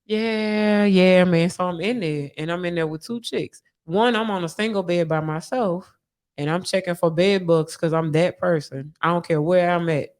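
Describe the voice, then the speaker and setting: Woman podcast storyteller, candid and profane, animated delivery in loose everyday slang. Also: fairly low pitch, moderate pace.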